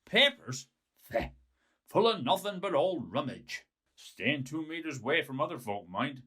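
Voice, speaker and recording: A man playing a grumpy, solitary old villager in an audio story, with gruff, curt dialect speech. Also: a little high, big pitch swings, a little slow, pushed and bright, exaggerated voice. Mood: grumbling. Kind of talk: acted dialogue in audio story.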